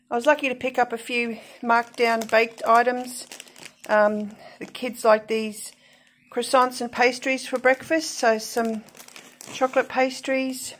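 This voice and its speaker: An older Australian woman vlogging casually about her budget grocery shop, listing items with lots of ums and pauses, recorded on a phone. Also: fairly high pitch. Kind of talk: casual grocery haul vlog. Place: inside a parked car. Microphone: phone camera at arm's length.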